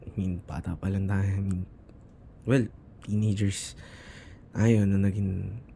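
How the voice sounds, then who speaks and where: Guy playing a sweet bashful filipino boyfriend in an asmr roleplay, mellow voice, really slow and hesitant. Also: low-pitched, level tone, vocal fry, slight background noise, exaggerated voice. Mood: hesitant, embarrassed. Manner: soft, hesitant, halting, slipping between Tagalog and English. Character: shy, affectionate, teasing Filipino boyfriend in his twenties.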